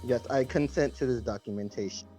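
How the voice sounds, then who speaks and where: A man's voice: mid-range voice, a little fast, warm tone, faint music behind, rough recording. A man of nineteen talking casually as a guest on an online voice chat, terse and challenging. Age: teens.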